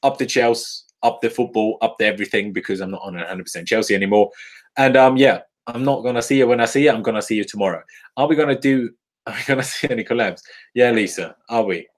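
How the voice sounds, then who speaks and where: A man's voice: mid-range voice, fast. A man in his twenties from Austria, with an Austrian accent tinged by English and Irish, chatting casually in a live-stream Q&A with frequent laughs and ums. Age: 20s. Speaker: football YouTuber.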